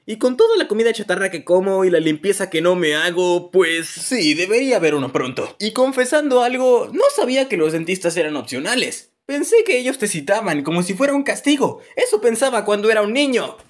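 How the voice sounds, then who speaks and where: A man tells comic stories as the narrator of an animated video. His voice sits high for a man and swings widely in pitch. The delivery is expressive and playfully exaggerated. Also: brisk, hardly any pauses. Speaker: narrator of a fan-dubbed animated story video. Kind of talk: animated comedic storytelling narration.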